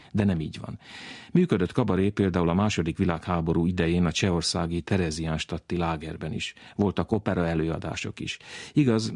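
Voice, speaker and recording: A man narrates as a radio presenter from a script. His voice is very low and husky, picked up close and dry. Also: darkish tone, no room sound.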